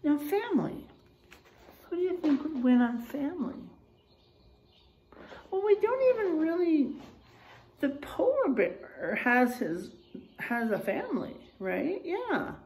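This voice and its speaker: A woman's voice: high-pitched, slow, pauses often, poor audio. A woman reads aloud to children like a teacher, expressively, encouraging them and putting questions to them. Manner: expressive, encouraging, addresses young listeners with questions. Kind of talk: animated children's read-aloud with asides to listeners. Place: small unfinished room or garage with drywall, indoors.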